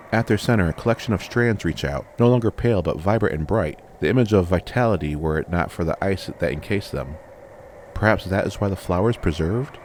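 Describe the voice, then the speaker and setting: Very deep-voiced man narrating fantasy. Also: darkish tone, slight background noise. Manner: measured, dramatic, short deliberate phrases. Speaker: audiobook narrator. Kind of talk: scripted first-person fantasy story narration.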